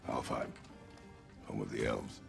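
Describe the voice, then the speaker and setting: A man playing a stern, guarded warrior father in a video game, with terse formal speech and a deep gravelly voice. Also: steady tone, measured pace, over music, heavily acted.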